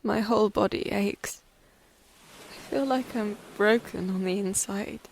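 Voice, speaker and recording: A woman's voice: medium pitch, a little slow, gravelly, slight background noise, rough recording. A woman playing an injured, despairing castaway alone on an island, speaking a raw, weary diary monologue in an audio drama. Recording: music behind the voice.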